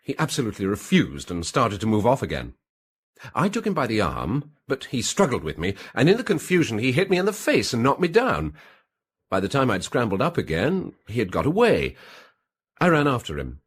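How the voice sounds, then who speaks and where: British gentleman suspect, husky voice, hugely varied intonation, defensive, hesitant, radio detective drama. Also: medium pitch, huge pitch swings, fairly quick. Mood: recounting, earnest. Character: evasive, defensive gentleman suspect giving his statement.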